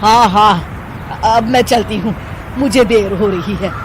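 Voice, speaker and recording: A woman voicing a sharp-tongued, jealous older village aunt in a dramatic, gossipy way for an animated moral story. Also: mid-range voice, a little slow, background noise, close to an everyday voice. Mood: chatty, envious.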